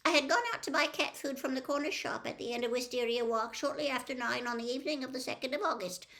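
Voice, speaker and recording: A woman's voice: sits high, sing-song, normal speed, sharp tone, voice pushed up, full character voice. A woman voicing a nervous, batty elderly woman with a quavery voice who gabbles her words. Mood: flustered. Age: older. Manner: gabbling, flustered.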